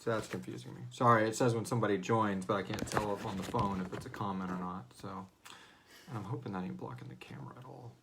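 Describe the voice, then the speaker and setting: A man's voice: lowish voice, steady tone, fast, background noise. A man hosting a fan Q&A livestream, chatting casually and rambling, with slangy, profane asides and hesitant phrasing. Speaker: host of a serial drama fan Q&A. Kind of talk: casual unscripted livestream chat.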